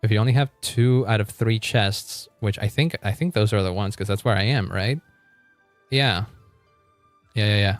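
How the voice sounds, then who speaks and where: A man's voice: on the low side, moderate pace, no echo, faint music behind. A man livestreaming a game, chatting casually with viewers in a rambling, informal way with some mild swearing.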